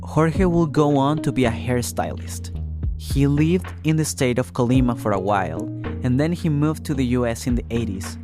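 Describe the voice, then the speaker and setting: A man's voice: mid-range voice, normal speed. Man narrating a podcast over music. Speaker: reporter and narrator.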